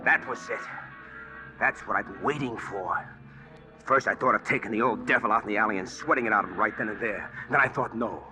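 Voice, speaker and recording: A man's voice: fairly low pitch, brisk, lightly acted, rough recording. An actor playing a desperate, obsessed man with earnest, confiding delivery in 1940s radio style. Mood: confiding, brooding. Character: desperate, obsessed man narrating his hunt for truth. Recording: old radio drama with music.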